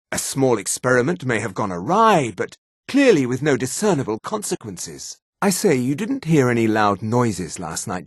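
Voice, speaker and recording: A haughty male high elf mage for a fantasy video game. His mid-pitched voice moves expressively up and down, with a husky edge and formal, precise diction. The recording is close and dry. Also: no echo, full character voice. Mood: polite, evasive. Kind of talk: scripted video game character lines.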